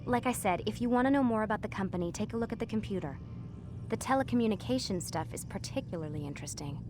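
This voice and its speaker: A woman's voice: medium pitch, animated, fast, over music, natural voice. A woman playing a guarded, patient but firm scientist in a video game, with a dry manner. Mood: dry, matter-of-fact. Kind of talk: naturalistic video game acting.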